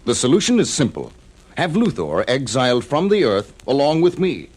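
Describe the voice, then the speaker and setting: An actor playing an earnest, noble superhero with a husky, declamatory delivery in a theatrical audio drama. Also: male voice, medium pitch, sing-song, normal speed, darkish tone. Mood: solemn, resolute. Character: earnest, noble, heroic adult male superhero.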